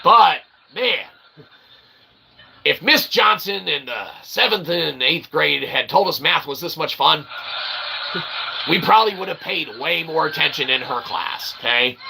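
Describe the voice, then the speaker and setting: A man hosting his own channel, rambling in a monologue that swings from mutters to sudden loud outbursts and keeps asking "okay?". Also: on the high side, lively intonation, a little slow, creaky, a little hiss or hum, poor audio. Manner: peppers talk with "okay?" and "literally". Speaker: self-published author and channel host.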